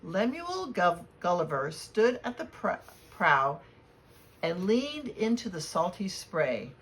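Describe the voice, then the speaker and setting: A woman reading a storybook aloud to children in a deliberate, expressive way. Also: fairly low pitch. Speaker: children's read-aloud reader at a library. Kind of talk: storybook read-aloud with spoken introduction. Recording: clear background noise.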